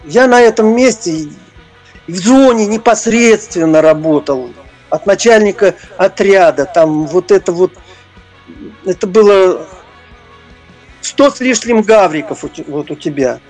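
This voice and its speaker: An older man reminiscing in an interview, speaking haltingly and searching for his words. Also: high-pitched, lively intonation, darkish tone, soft background music. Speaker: interview guest, retired prison-service officer and former stage performer.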